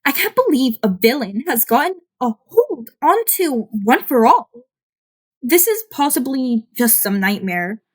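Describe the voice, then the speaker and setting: A woman's voice: high voice, sing-song, a little slow, breathy edge, heavily acted. A woman voicing an angry, exasperated heroine and mentor who accuses, in an amateur audiobook. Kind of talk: fan fiction audiobook character voice.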